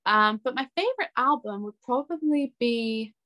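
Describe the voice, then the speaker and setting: A woman's voice: a little high, animated, normal speed, bright, forceful tone. A young woman chatting casually and informally as an interview guest. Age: young adult. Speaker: interview guest, singer-songwriter. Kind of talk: casual chat.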